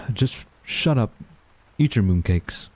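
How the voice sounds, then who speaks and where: Deep-voiced, grumbling tsundere college guy played by a man. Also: animated, rough recording. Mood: flustered, defensive. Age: young adult. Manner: flustered, grumbling, halting denials. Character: tsundere young male university student rival, defensive, secretly smitten. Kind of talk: romantic audio roleplay acting.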